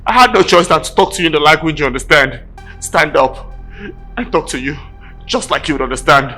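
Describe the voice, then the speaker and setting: A man's voice: on the high side, a little fast, pushed and bright, soft background music. A man playing a palace driver who defends himself indignantly. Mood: explaining.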